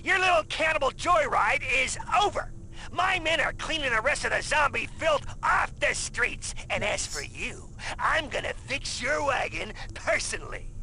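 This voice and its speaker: An actor playing a furious, vengeful police chief in a video game, with menacing, theatrical taunts. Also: male voice, very high-pitched, big pitch swings, normal speed, creaky, bright, forceful tone, full character voice, poor audio. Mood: furious, gloating. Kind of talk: video game cutscene acting.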